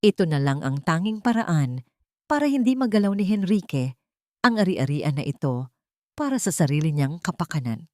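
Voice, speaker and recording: Story role: elderly, caring gentleman attorney, read by a female narrator, solemn counsel, dry sound. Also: fairly low pitch, very animated, moderate pace, dry recording. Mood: grave concern. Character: elderly male lawyer, grave and caring. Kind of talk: audio storybook character voice.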